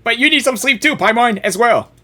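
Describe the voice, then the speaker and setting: Woman game streamer, husky voice, lively swinging pitch, casual excitable reactions, repeats herself, faint music behind. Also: a little fast. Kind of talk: casual live reaction commentary, reads dialogue aloud.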